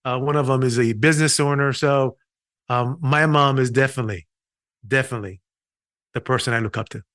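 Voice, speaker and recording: A man's voice: medium pitch, fairly quick, fairly bright tone, dry recording, clean studio sound. A middle-aged man from Haiti, a physician, telling his story in an earnest way with restarts in a podcast interview. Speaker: physician and patient experience consultant.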